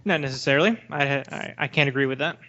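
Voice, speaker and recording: A man's voice: mid-range voice, fast, pushed and bright. A man co-hosting a podcast, debating casually in a blunt, questioning, challenging manner. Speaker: podcast co-host. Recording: dull, lo-fi voice recording.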